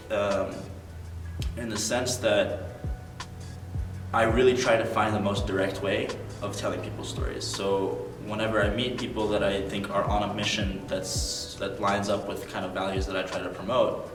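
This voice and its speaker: A man's voice: lowish voice, distant mic. Earnest young man, roomy echo. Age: young adult. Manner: earnest, thoughtful, many ums and likes. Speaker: interview guest. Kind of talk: reflective interview talk.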